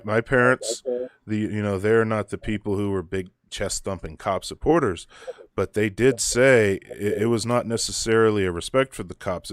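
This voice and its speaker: A young man with a very deep voice talking casually as a guest, thoughtful and halting with frequent restarts. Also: dry recording, clean studio sound. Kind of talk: casual conversation.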